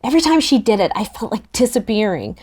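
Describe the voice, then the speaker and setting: A woman narrating a first-person novel in a relaxed, expressive table-read style. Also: fairly high pitch, fast talker, slightly rough.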